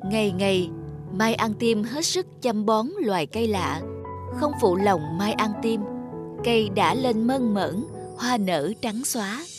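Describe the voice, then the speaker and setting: A woman's voice: mid-range voice, animated, a little slow, over music. A woman reading scripted fairy tale narration as a storyteller.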